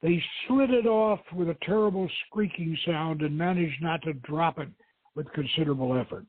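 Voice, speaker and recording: Male storyteller-host, high and husky, heard through a narrow phone line with room echo. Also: normal speed. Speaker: host reading aloud his own novel. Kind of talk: dramatic reading and informal hosting. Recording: thin phone-like radio line, roomy echo.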